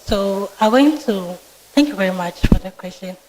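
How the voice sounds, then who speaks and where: Quiet young ghanaian woman answering a vetting panel, polite and formal, into a mic. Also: medium pitch, animated, normal speed, a little hiss or hum, poor audio. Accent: Ghanaian English. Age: 20s. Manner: soft-spoken, polite and formal. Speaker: student candidate being vetted. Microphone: microphone with feedback.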